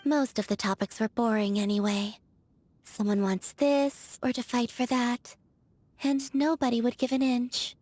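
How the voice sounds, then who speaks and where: An actress voicing a soft-spoken, aloof young woman in a game, with a breathy, whispery manner and slow, languid delivery. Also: a little high, fairly even intonation, no echo. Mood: musing. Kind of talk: video game character voice lines.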